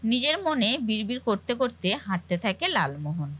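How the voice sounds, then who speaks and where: A woman's voice: medium pitch, very animated, brisk, a bit bright, a little hiss or hum. A woman narrating a cartoon story in a scripted storytelling style. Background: faint music.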